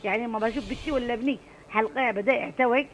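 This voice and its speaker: Passionate fast-talking Adeni woman caller. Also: a little high, a little hiss or hum. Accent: Adeni Arabic. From Aden, Yemen. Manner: passionate, emphatic. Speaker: radio caller. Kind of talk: call-in radio chat.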